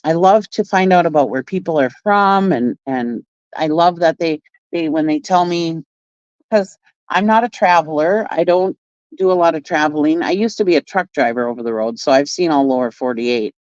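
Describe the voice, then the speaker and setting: A woman's voice: low voice, moderate pace, a little mellow, dry recording. A woman hosting a casual livestream who rambles, chats with her viewers and laughs often. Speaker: channel host reacting to clips. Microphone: phone. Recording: dry, dull lo-fi sound.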